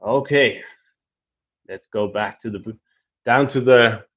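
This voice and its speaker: A man's voice: mid-range voice, slow. Man in a casual, chatty Q&A. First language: Danish. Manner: chatty, easygoing, reading comments aloud with small restarts. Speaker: travel vlogger answering viewer questions. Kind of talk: casual live Q&A, switching between English and Spanish. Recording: dull lo-fi sound.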